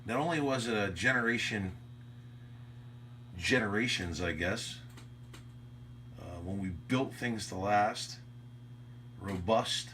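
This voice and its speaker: A man's voice: on the low side, takes it slowly, pauses often, fairly bright tone. An American man, an amateur radio operator, rambling casually and nostalgically in a reflective, conversational monologue. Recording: clearly noisy audio with hum.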